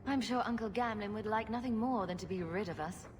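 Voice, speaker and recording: A woman's voice: mid-range voice, a little fast, noisy background, lightly acted. Woman playing a wry rogue in echoing space. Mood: dry, sarcastic. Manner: dry, teasing. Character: wry, sarcastic adventuring female rogue, confident and teasing. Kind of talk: video game dialogue. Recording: reverberant hall, music underneath.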